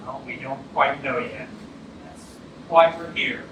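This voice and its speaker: An actor playing a blunt, seasoned police detective in a staged reading, speaking sternly and deliberately with some swearing. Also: male voice, mid-range voice, close to an everyday voice. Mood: grave. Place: indoor arts centre stage, staged reading. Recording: echoey theatre from far off, noisy.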